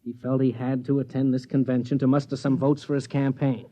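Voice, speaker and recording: An actor playing a devoted but stubborn rocket pilot husband in 1950s American radio drama style. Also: male voice, mid-range voice, flat delivery, a little fast, dark tone, close to an everyday voice. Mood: earnest, explanatory. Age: adult. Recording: muffled vintage 1950s radio recording.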